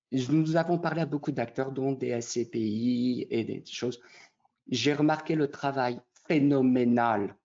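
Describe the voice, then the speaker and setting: A man's voice: fairly high pitch, darkish tone. Man explaining, slightly muffled audio. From Quebec, Canada. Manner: didactic, poses questions then answers them. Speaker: interview guest, real estate tokenisation company representative. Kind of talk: explanatory interview talk.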